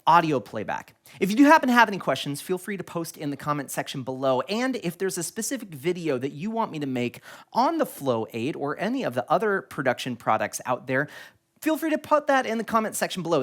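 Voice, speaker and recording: A man hosting an instructional product tutorial, explaining things step by step. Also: fairly high pitch. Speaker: tutorial video host.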